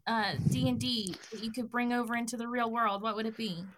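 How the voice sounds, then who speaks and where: A woman's voice: on the high side, steady tone, fairly quick, a bit bright, rough recording. Teasing woman hosting a podcast. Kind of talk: casual podcast chat.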